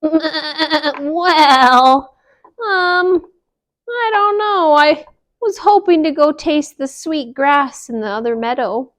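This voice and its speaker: A woman's voice: sits high, slow pace, fairly bright tone, voice pushed up. A woman voicing a giggly, carefree sheep who dithers before declining.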